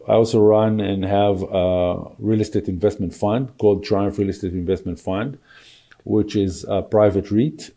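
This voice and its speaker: A man in his sixties from Israel, talking as a guest in a casual interview, measured and halting with many fillers. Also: lowish voice, mellow. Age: 60s. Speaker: podcast guest, real estate broker.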